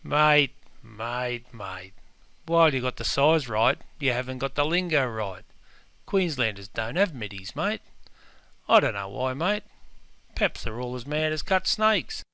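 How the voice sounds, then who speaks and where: A man with an Australian accent playing a gruff, pedantic pub owner who scolds customers in broad slang, in a scripted comedy dialogue. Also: medium pitch, big pitch swings, a little slow, sharp tone, dry recording, heavily acted. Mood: scolding. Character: gruff, pedantic Aussie publican, quick to correct. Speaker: publican character.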